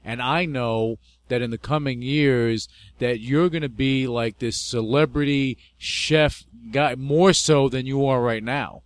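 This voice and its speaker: A man's voice: medium pitch, a little slow, no echo. A man hosting an interview, chatty and enthusiastic, laughing often. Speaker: interview host. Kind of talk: casual interview talk.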